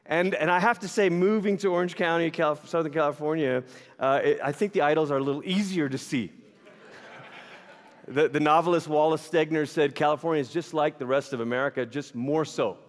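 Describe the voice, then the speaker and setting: A man from Malaysia preaching a sermon in a church, telling animated, self-deprecating stories with humor. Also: fairly high pitch, brisk. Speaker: pastor. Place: church sanctuary. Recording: long reverb of a large hall.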